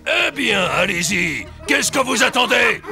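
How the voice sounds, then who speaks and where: A man voicing an imperious, menacing tiger lord of the jungle in an animated cartoon, with a gravelly voice. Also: extremely high pitch, a little slow, full character voice. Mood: scornful, commanding. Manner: imperious, threatening. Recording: loud music behind.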